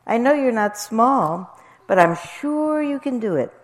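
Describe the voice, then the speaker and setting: A woman's voice: fairly high pitch, lively intonation, measured pace, voice pushed up. A woman voicing a flighty, self-serving mother bird in a storybook, coaxing in a breezy, wheedling sing-song.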